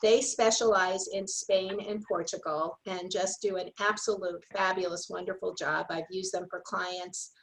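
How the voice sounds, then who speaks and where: A woman's voice: lowish voice, fairly even intonation, normal speed, few pauses, poor audio. A woman hosting an online wine tasting series, introducing her guest on a video call with gushing praise and many superlatives.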